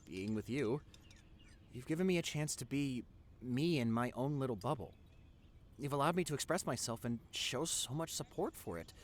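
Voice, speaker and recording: Man playing a goofy nerdy boyfriend, animated voice. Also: on the high side, natural voice. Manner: teasing, stammering when flustered. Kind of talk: intimate boyfriend audio roleplay.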